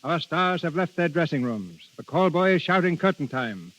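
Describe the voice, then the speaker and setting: A man's voice: on the high side, big pitch swings, normal speed, a bit bright. A man narrating a vintage radio play in a formal, florid announcer style. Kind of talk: 1930s-40s scripted radio narration. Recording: muffled old radio broadcast recording. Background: music.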